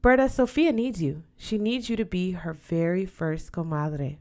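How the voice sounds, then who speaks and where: A woman's voice: on the low side, moderate pace, darkish tone, lightly acted. A woman voicing an older godmother aunt in an audiobook, firm, affectionate and dutiful, counselling emphatically. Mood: earnest, urging. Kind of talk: audiobook character voice.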